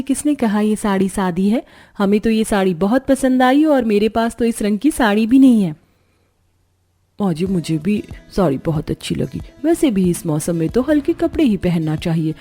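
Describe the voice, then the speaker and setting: Kind young cartoon bride, voiced by a woman, reassuring and soft-toned, light music behind. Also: mid-range voice, lively intonation, normal speed, close to an everyday voice. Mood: sweet, caring.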